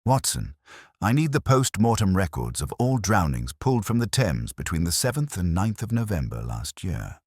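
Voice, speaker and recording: A man voicing a brisk Victorian detective in a terse, formal, probing way for a storybook. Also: low-pitched, very animated, darkish tone, slightly rough, no echo, clean studio sound, pitched above the performer's own voice, exaggerated voice. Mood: probing, intent.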